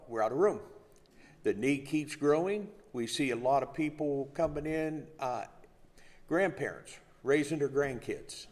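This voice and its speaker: A man's voice: medium pitch, darkish tone. A man from a food bank speaking plainly to a crowd, with a few fillers. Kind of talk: short speech to a crowd. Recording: reverberant hall.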